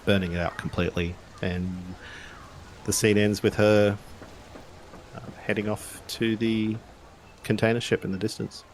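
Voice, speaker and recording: Male game master, deep voice, halting improvised narration, some background noise. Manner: halting, thinking aloud.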